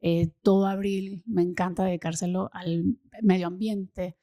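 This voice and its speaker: A Venezuelan woman in her thirties, talking enthusiastically as an interview guest and often pausing with fillers and repeated words. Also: on the low side, level tone, dark tone, dry recording, studio quality. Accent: Venezuelan Spanish. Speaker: guest, social impact consultant. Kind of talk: casual interview conversation.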